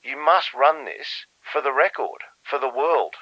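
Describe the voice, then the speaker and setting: An actor playing a grave, conspiratorial foreign minister of Australia, measured, formal and urgent in an audio drama. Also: male voice, mid-range voice, bright, forceful tone, dry recording, poor audio. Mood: urgent warning.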